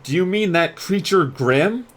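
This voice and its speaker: A man's voice: high voice, lively intonation, measured pace, a bit bright, pitched above the performer's own voice. A man voicing an anxious, bewildered teenage boy who is new to a magic school, hesitant and earnest.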